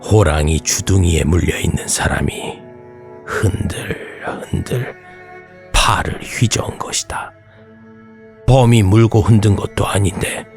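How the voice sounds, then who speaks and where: Male horror storyteller, low voice, slow suspenseful scripted read with hushed drops, music underneath. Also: gravelly. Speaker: horror radio narrator. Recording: music bed behind voice. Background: background music.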